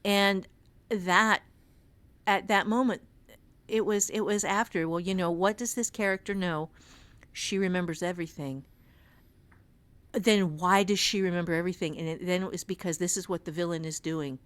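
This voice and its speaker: A woman's voice: lowish voice, fairly even intonation, normal speed, pauses often, fairly bright tone, no echo. A middle-aged woman with an American accent, talking candidly and bluntly as an author and teacher, telling stories in an emphatic, dramatic way. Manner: emphatic, dramatic, blunt, quotes remembered dialogue. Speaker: author and writing teacher, guest. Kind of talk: candid conversational storytelling.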